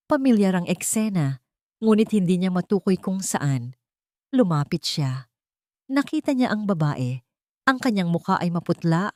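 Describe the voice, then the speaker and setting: Low-voiced woman narrating a drama. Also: no echo, clean studio sound. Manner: steady dramatic storytelling. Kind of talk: scripted story narration.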